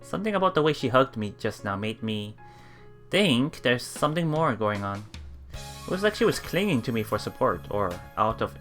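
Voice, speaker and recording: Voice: medium pitch, sing-song, normal speed, a bit bright, soft background music. A let's player reading visual novel text aloud and musing casually on it as they go. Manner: musing, often thinking aloud.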